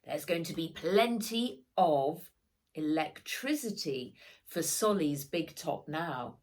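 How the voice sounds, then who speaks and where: A woman's voice: low-pitched, lively intonation, takes it slowly, darkish tone, heavily acted. A woman voicing a kindly, proud old grandfather in a children's storybook, with a hearty, folksy manner. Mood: proud, pleased.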